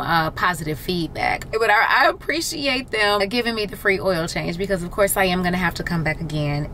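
A woman in her thirties chatting casually to camera, telling everyday stories in an animated, conversational way. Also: mid-range voice. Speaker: vlogger. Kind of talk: casual vlog chat to camera. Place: inside a parked car. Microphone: camera at arm's length. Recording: noisy background hum.

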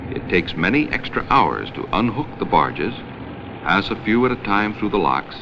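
An American man reading scripted narration for a 1970 educational film in a measured, instructional way. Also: lowish voice, very animated, background noise. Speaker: documentary narrator. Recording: dull vintage 16mm film soundtrack, music.

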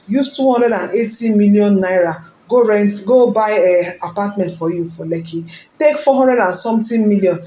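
A woman's voice: medium pitch, a little mellow, reverberant, a little hiss or hum. A woman speaking Nigerian-accented Pidgin, hosting celebrity gossip commentary in a dramatic, rhetorical way and calling out to her viewers. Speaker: gossip channel host.

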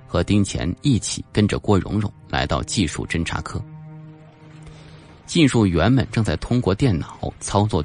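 A man's voice: low-pitched, creaky, darkish tone. A man reading a scripted suspense novel as an audiobook narrator, with a dramatic storytelling delivery.

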